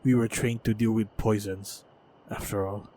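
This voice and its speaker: A man playing a wounded, stoic monster hunter in a fantasy audio roleplay, speaking in a measured, halting, guarded way. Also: on the low side, steady tone, warm tone, a little hiss or hum, natural voice. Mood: calm, stoic. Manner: measured, halting, guarded delivery with heavy sighs. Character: wounded, battle-hardened lone male monster hunter, wary, stoic. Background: faint ambient sound effects.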